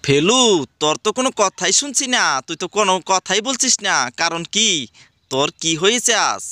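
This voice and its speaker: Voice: mid-range voice, expressive, a little fast, bright, forceful tone, dry recording, clean studio sound. A voice actor playing an exasperated male schoolteacher in a cartoon, scolding his pupils and exclaiming at their answers. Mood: stern. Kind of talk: cartoon voice acting.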